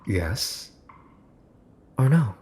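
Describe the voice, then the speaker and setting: A man playing a smug mafia boss's son in an ASMR roleplay, teasing and condescending as he makes cool, possessive threats with pauses for effect. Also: mid-range voice, very animated, a little mellow, a little hiss or hum. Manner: teasing, smooth-talking, condescending, pausing for effect. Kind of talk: ASMR boyfriend roleplay, scripted monologue to listener.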